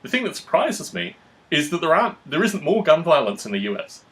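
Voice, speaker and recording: A man giving opinionated political talk as a podcast host, in a deliberate, wordy manner with formal phrasing. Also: fairly high pitch, sing-song.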